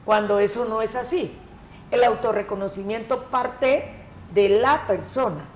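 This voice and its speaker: A woman from the Colombian Pacific coast, an education coordinator answering interview questions in a measured, emphatic way. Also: fairly high pitch, slight background noise. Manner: measured, emphatic, repeats key words. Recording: echoey room, faint ambience.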